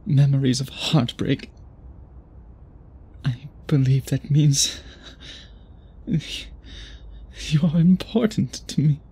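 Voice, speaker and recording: A man playing an obsessed, tormented vampire suitor in an intimate ASMR roleplay, speaking formally and haltingly in a breathy, husky voice. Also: mid-range voice, mellow, full character voice. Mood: remorseful, worried. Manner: formal, theatrical, halting speech with dramatic pauses.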